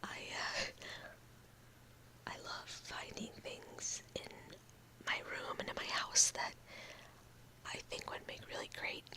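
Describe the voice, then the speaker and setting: A young woman in her room, whispering slowly and softly into a close mic in a casual ASMR ramble, her voice a little odd after a cold. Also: rough recording. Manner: slow, halting, talks with fillers and small laughs. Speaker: ASMR video creator.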